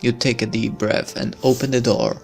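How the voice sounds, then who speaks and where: A man's voice: mid-range voice, fairly even intonation, normal speed, creaky, soft background music. A man reading a visual novel aloud as narration, breaking into casual commentary as a let's player.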